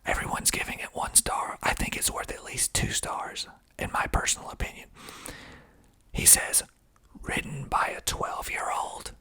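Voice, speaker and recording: A man whispering breathily in ASMR style into a close mic, reading aloud slowly and deliberately with dry asides. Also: sharp tone. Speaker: filmmaker and ASMR video host. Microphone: close mic with pop filter.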